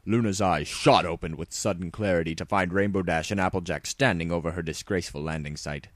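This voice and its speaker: Man, low pitch, dry sound, dramatic tongue-in-cheek story narration. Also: steady tone, a little fast, no echo.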